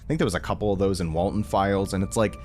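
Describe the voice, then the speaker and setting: A man's voice: low voice, steady tone, very fast, a little mellow, faint music behind. A young man giving a casual, rambling review to camera in an informal, enthusiastic, self-deprecating way. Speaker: reaction video creator.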